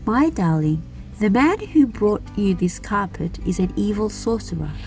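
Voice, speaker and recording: A woman's voice: mid-range voice, sing-song, normal speed, darkish tone, soft background music. Woman voicing a kind, clever captive king. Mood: urgent pleading.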